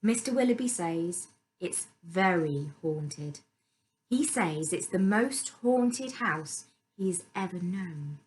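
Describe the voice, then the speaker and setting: A woman's voice: fairly low pitch, expressive, slow pace, darkish tone, poor audio. Woman acting pert, insistent teenage girl. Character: nineteen-year-old sister, cheeky, insistent gossip. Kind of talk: dramatic storybook reading.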